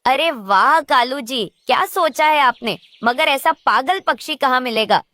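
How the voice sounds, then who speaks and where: Woman playing a scheming, high-voiced female crow. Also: lively intonation, a little slow, sharp tone, no echo, full character voice. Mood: gleeful scheming. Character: wicked, scheming, lazy female crow, a nagging wife. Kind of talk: Hindi children's cartoon character voice.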